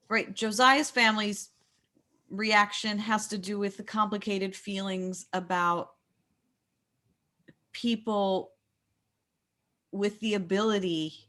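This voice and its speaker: A woman's voice: medium pitch, fairly even intonation, slow pace, pauses often, fairly bright tone. An American woman leading a book discussion, speaking in a reflective, explanatory way and often rephrasing mid-sentence. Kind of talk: thoughtful literary discussion.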